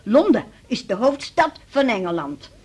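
An actress playing a stubborn, forgetful woman in her eighties in a radio drama, with a husky voice and a blunt, rambling manner. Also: a little high, sing-song, measured pace, a little mellow, exaggerated voice. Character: stubborn, forgetful 83-year-old mother, cheeky and rambling. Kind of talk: 1980s Dutch radio drama acting.

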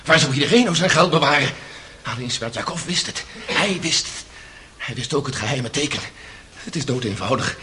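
A man's voice: medium pitch, expressive, normal speed, close to an everyday voice. A man acting an agitated, emotional accused son on trial for murder in a radio drama. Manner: impulsive, emotional, swinging between defiant and despairing. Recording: noisy old radio play recording.

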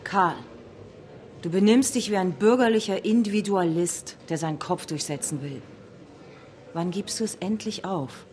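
An actress playing a stern, principled revolutionary leader in a German radio drama, firm and reproachful. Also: female voice, mid-range voice, normal speed, a little mellow, lightly acted.

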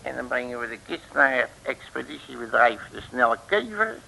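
An actor playing a blustering, muddle-headed detective who grumbles bossily in an old radio comedy serial. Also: male voice, medium pitch, sing-song, a little fast, gravelly, pushed and bright, heavily acted, poor audio. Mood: exasperated. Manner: bossy, colourful grumbling. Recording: vintage mid-century radio recording.

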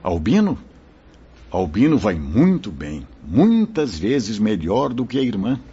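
A low, very husky voice with lively intonation, that of a wise and kindly spirit mentor in a radio drama. He explains things solemnly and formally. The recording is dull and lo-fi. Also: slow, faint music behind, exaggerated voice. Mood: solemn, benevolent. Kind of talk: radio drama acting, declamatory.

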